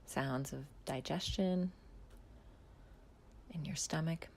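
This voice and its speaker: Soft low-voiced woman guiding a meditation. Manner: slow, soft, calm instructions with long pauses, often half-whispered. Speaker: mindfulness guide. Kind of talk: guided body scan meditation.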